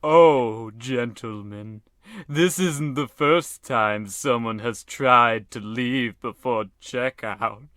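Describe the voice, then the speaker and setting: An actor playing a sinister, courteous keeper of a haunted motel in a parody of radio horror, formal and ominous. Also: male voice, mid-range voice, takes it slowly, fairly bright tone, no room sound, studio quality.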